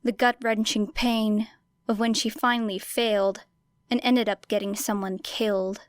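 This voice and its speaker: A woman's voice: mid-range voice, flat delivery, a little slow, no room sound. A woman reading a story aloud as scripted audiobook narration. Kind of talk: scripted fan fiction narration.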